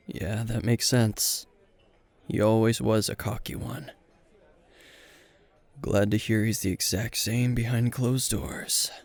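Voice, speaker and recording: A man playing a weary, gruff veteran hero and teacher at a bar, terse and dry, in an intimate roleplay spoken to the listener. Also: on the low side, steady tone, slow pace, pauses often, a little mellow. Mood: dry, relaxing. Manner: terse, guarded, dry, sighing.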